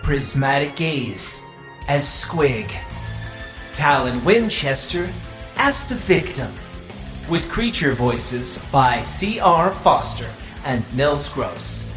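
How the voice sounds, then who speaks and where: A man reading end credits in a slow, steady manner. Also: mid-range voice, echoey room, soft background music. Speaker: credits announcer.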